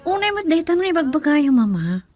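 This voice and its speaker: A woman's voice: sits high, sing-song, fairly quick, a little mellow, faint music behind. A young woman playing a stubborn, lovestruck daughter in a radio drama. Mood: defensive.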